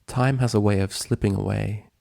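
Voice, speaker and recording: Male narrator, somber audiobook-style reading, dark mellow tone, close dry sound, measured storytelling. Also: on the low side, steady tone, creaky, no room sound.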